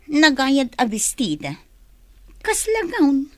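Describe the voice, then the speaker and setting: An actress playing a vain, easily annoyed young office worker in a radio drama, with a haughty, whiny delivery. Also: female voice, high voice, huge pitch swings, slow pace, fairly bright tone, no echo, full character voice. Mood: smug.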